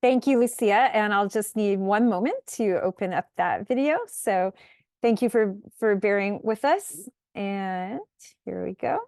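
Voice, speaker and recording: A woman moderating an online seminar, introducing a video in a brisk, polite way. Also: medium pitch, creaky, darkish tone, dry recording, clean studio sound.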